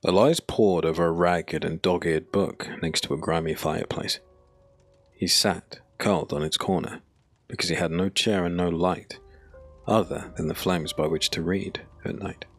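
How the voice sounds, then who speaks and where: A man narrating a dark fantasy audiobook as scripted storytelling, in a grave and dramatic manner. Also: deep voice, steady tone, moderate pace, dry recording. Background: music.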